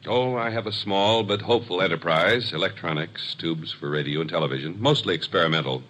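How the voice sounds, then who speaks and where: Low-voiced, guarded businessman. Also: brisk, fairly bright tone, a little hiss or hum, low-quality recording. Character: guarded, irritable businessman under questioning. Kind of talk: 1950s radio drama acting.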